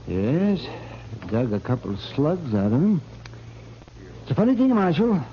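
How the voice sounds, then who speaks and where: Flustered, high-pitched old frontier doctor. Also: male voice, huge pitch swings, measured pace, heavily acted. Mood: wry, insistent. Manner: stammering, hesitant, emphatic. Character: flustered, garrulous older frontier town doctor. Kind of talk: 1950s radio western acting. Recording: muffled, noisy old radio recording.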